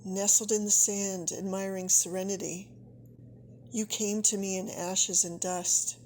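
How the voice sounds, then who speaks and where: A woman giving a solemn poetry reading in short, measured phrases. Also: medium pitch, flat delivery, darkish tone, a little hiss or hum. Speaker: poet.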